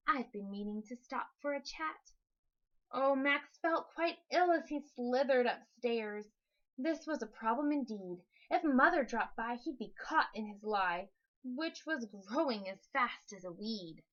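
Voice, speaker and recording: A woman reading a rhyming children's story aloud in an expressive narrating style. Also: on the high side, measured pace, lo-fi sound. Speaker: storybook reader.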